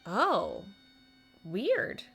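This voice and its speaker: A woman streaming a video game with casual, expressive commentary and exclamatory reactions. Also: medium pitch, very slow, vocal fry. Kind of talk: casual live game commentary, reading dialogue aloud. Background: game music.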